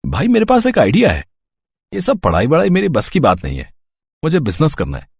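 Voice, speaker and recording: A man's voice: on the high side, huge pitch swings, brisk, vocal fry, a little mellow, slightly rough, no room sound, exaggerated voice. A man playing an easygoing, kindly young city student in a story, reassuring and casual.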